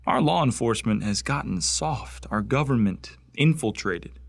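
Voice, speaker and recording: An actor playing a bitter, bigoted young man who wants to join a space marine corps, with an intense, indignant delivery and heavy pauses in a radio drama monologue. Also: medium pitch, lively intonation, creaky, no room sound. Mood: proud, earnest. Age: young adult.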